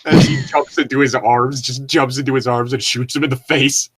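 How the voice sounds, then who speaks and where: A man's voice: mid-range voice, steady tone, moderate pace, a bit bright, slightly rough, faint music behind. A man co-hosting a comic read-aloud of bad fan fiction, amused and mocking, with casual sweary commentary. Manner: amused, mocking, breaking into chuckles.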